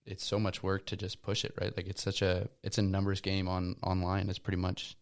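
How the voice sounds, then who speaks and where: A man's voice: low voice, monotone, brisk, gravelly. A man of about twenty, a band member giving laid-back interview answers. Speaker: band musician guest.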